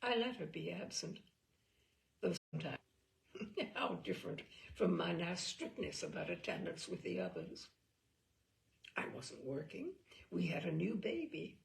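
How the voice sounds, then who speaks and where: Female literary narrator: creaky texture, highly varied intonation, measured dramatic storytelling. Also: sing-song, poor audio. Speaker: reader of short fiction. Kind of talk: expressive literary narration read aloud.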